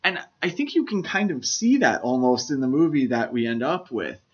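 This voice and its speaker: A man's voice: mid-range voice, very animated, moderate pace, slightly rough. A man co-hosting a podcast, casually discussing film in an enthusiastic, explanatory way. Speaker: podcast co-host. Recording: slightly dull, lo-fi podcast audio.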